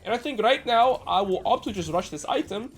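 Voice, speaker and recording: Fast-talking, nasal man. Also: high-pitched, expressive, soft background music. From Netherlands. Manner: brisk, explanatory, joking asides. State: slightly sick. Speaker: game coach. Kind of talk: gameplay commentary.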